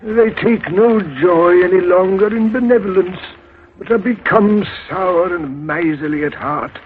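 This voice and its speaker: A man's voice: high-pitched, measured pace. A man playing a solemn male beggar who laments the city's troubles at length, in 1930s radio drama acting. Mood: lamenting. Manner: mournful, elaborate lamenting. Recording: tinny 1930s radio with faint hiss.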